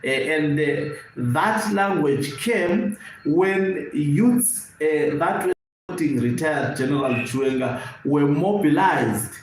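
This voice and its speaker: In a very echoey room with faint noise, a man with a Zimbabwean accent delivers live political analysis. He phrases things deliberately and comes back to key words for emphasis. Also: on the high side. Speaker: online news channel host and political analyst.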